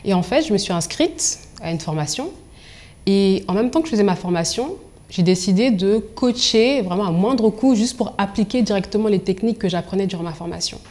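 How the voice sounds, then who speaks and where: A young woman from Angola and Congo, speaking as a professional coach in an interview, articulate and expressive as she tells her own story. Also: mid-range voice, fairly quick. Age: young adult.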